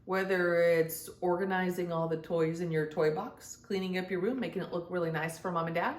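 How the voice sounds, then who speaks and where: A woman reading a children's story aloud in an expressive storytelling style, speaking as if to young listeners. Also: lowish voice, a little fast, reverberant. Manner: addresses young children directly, acts out dialogue. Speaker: children's storybook reader and host.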